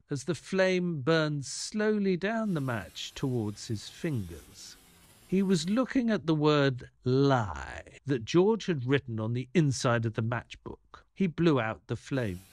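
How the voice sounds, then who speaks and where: A man playing a detective's loyal assistant who narrates an audio drama in a measured storytelling manner. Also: on the high side, no room sound.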